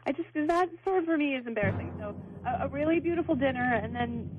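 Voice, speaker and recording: A woman's voice: high-pitched, animated, fast, noisy background. A woman on a phone call to a radio show, talking casually in a hesitant, flustered way. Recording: tinny phone line on radio.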